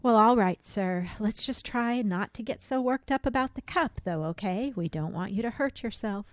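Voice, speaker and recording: A woman's voice: mid-range voice, lively intonation, normal speed, no echo, studio quality, natural voice. A woman playing a reasonable, mildly condescending bystander who soothes someone in a mock dramatic reading. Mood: placating. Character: placating, reasonable bystander soothing a worked-up customer.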